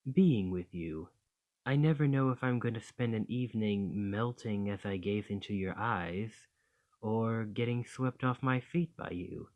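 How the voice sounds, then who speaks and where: Bashful romantic dragon, played by a man, ASMR roleplay: unhurried, lowish voice, doting, hesitant, tender. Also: narrow, tinny audio, lightly acted. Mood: tender, smitten. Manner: flustered, hesitant, tender, with sighs and stammers.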